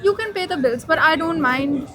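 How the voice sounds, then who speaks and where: A woman's voice: high-pitched, expressive, fast, crowd noise. A young woman answering a street interview outdoors, gushing and enthusiastic. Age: young adult. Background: background music.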